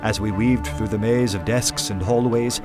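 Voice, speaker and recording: A man playing an earnest detective who narrates his undercover adventure in a measured, literary radio play style. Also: lowish voice, fairly even intonation, music in the background, natural voice. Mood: brooding, dramatic. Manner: measured, literary, dramatic storytelling. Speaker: narrator and lead character.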